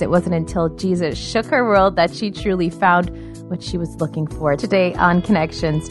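A woman host gives a measured, polished introduction of her guest in a studio. Her voice sits a little low for a woman. A clear music bed plays underneath her. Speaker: talk show host.

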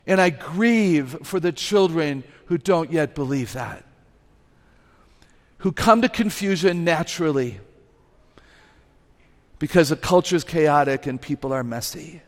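A woman with an unusually low voice gives a lively, humorous talk to a live audience through a microphone. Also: a little slow, pauses often. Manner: lively, humorous, emphatic, laughs at herself. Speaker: guest speaker and author. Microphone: microphone on a stage. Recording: live talk with long hall reverb.

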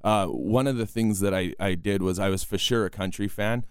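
A young man with a baritone voice, a country singer being interviewed, who talks with many restarts and keeps asking "right?". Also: moderate pace, clean tone, dry recording, clean studio sound. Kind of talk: casual interview talk.

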